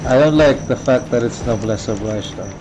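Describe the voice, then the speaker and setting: A man's voice: mid-range voice, moderate pace, low-quality recording. A man giving casual, slangy gameplay commentary as a streamer, with a cocky manner. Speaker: game streamer. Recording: game music behind the voice.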